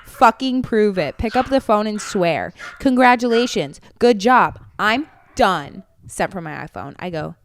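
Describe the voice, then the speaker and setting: A woman's voice: mid-range voice, animated, measured pace, few pauses. A young woman co-hosting a casual podcast, telling stories and reading messages aloud in a mocking, dramatic way. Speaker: podcast co-host. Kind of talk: casual chat, reading ex's emails aloud.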